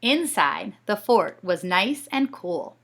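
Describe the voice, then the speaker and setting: Female storybook narrator for kids: bright tone, animated intonation, expressive read-aloud. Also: medium pitch, slow pace. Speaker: storybook reader.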